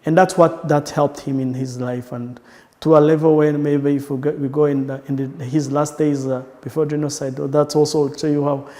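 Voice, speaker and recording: A man with a Rwandan accent looks back on his family in an interview. His voice sits a little high for a man but sounds soft and mellow, and it trails into a long, ringing reverb. He talks haltingly and often restarts his sentences. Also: flat delivery. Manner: halting, searching for words with frequent restarts. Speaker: entrepreneur. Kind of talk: personal recollection in an interview.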